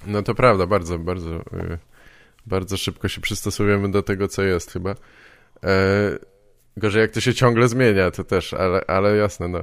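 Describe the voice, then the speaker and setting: Deep, smooth-voiced man chatting tentatively. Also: steady tone, moderate pace, no echo. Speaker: podcast host. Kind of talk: casual conversational podcast talk.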